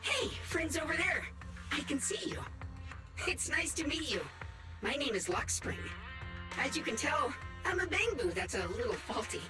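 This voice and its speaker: A small, faulty game robot, swings through a highish, very lively range. It is polite and friendly, sometimes halting like a machine, and sounds set back behind loud music. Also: female voice, echoey room, far from the mic. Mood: friendly, apologetic. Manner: halting machine speech turning chirpy and polite. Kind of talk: video game voice acting.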